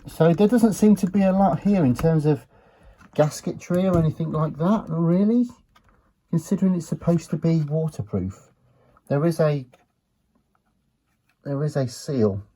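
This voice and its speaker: A man giving an unhurried, low-key, matter-of-fact commentary as he works on a gadget, muttering to himself at times. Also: dark tone, low-quality recording. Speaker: retro electronics hobbyist presenter. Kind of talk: casual hands-on teardown commentary.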